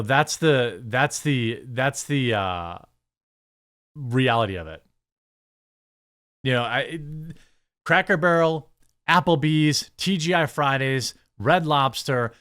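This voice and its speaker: A man's voice: mid-range voice, fairly bright tone. Blunt, sarcastic American male commentator. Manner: blunt, sarcastic, exasperated asides. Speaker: commentary show host. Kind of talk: opinionated news commentary, reading articles aloud and reacting.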